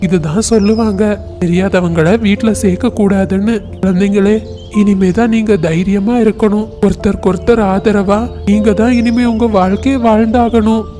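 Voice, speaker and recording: A performer voicing a wise, kindly parrot uncle in a cartoon, giving gentle advice. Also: medium pitch, fairly even intonation, moderate pace, faint music behind, natural voice. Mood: advising. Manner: gentle, advising.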